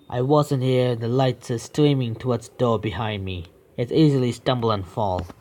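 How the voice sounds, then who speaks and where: A young man streaming a horror visual novel, reading the text aloud with stumbles and breaking off into swearing, joking asides. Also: medium pitch. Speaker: game streamer.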